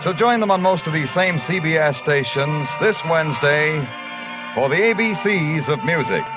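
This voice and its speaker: A man's voice: on the high side, expressive, moderate pace. An American man reading a program promo as a 1950s network radio announcer. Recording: old radio broadcast with music behind.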